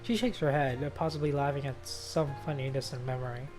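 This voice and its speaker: Casual streamer reading game story out loud, swears a bit, playful, medium pitch, light music in back. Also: a little fast. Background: faint game music.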